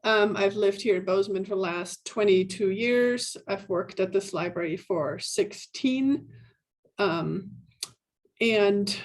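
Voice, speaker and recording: A middle-aged woman from Germany, a native German speaker, introducing herself informally in an online meeting and searching for words. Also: medium pitch. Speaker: library department head.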